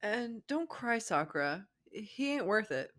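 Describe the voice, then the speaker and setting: A woman's voice: medium pitch, big pitch swings, measured pace, a bit bright, voice pushed up. A woman voicing a fiercely loyal, blunt teenage boy in a fan fiction reading, with an emphatic, reassuring manner. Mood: protective.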